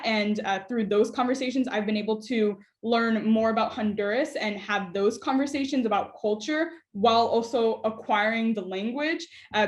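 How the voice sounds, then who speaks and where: Young female student guest, higher pitch, easy and thoughtful with fillers, roomy echo. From United States. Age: young adult. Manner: thoughtful, many "uh" and "um" fillers, light laughs. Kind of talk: conversational interview.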